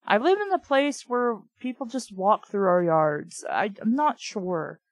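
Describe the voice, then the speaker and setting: A woman's voice: medium pitch, sing-song, a little slow, dry recording, rough recording. A woman reading a book aloud and reacting in casual, blunt asides, often incredulous and sweary. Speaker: host reading and reviewing a children's book. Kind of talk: read-aloud with casual running commentary.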